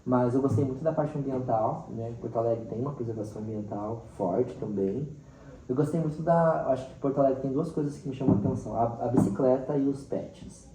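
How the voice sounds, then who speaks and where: A man's voice: medium pitch, brisk, mellow. A man with a central-western Brazilian accent talking casually and expressively as a teacher being interviewed, sprinkling in little acted quotes. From Mato Grosso do Sul, Brazil. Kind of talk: casual, animated storytelling in interview. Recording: roomy, distant mic, faint background noise.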